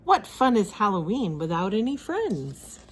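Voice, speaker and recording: Woman voicing an excitable, anxious storybook pig inventor. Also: mid-range voice, measured pace, noisy background. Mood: hopeful, excited. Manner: exclaiming, fretful.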